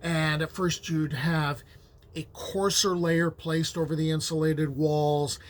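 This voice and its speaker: Unhurried man explaining folklore. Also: fairly high pitch. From United States. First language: Ukrainian. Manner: relaxed and rambling, with many fillers and restarts. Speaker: history teacher and folklore host. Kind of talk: casual lecture on folklore.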